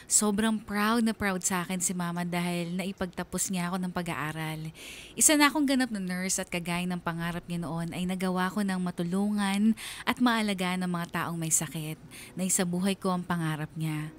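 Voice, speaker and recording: A woman's voice: lowish voice, normal speed, a little hiss or hum, close to an everyday voice. A woman playing an earnest, dutiful young nurse who narrates her own story in a Filipino radio drama, reflectively.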